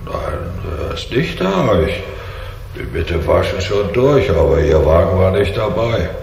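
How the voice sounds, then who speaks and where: Low-voiced garage man calling across a hall. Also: very animated, unhurried, vocal fry, darkish tone, exaggerated voice. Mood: friendly, concerned. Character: friendly, respectful male night garage attendant. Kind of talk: German radio drama acting. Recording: echoey hall, distant, faint ambient noise.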